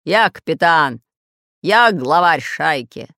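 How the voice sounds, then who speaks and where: A woman voicing a fat, red-bearded male pirate captain in a children's storybook, bossy and grumpy, with a growling, booming delivery. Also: lively intonation, slow, a bit bright, no room sound, clean studio sound, full character voice. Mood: bossy, angry. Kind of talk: children's storybook character acting.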